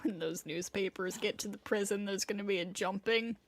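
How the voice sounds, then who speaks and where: A woman's voice: mid-range voice, moderate pace, a little hiss or hum. A woman livestreaming a game with casual reaction commentary.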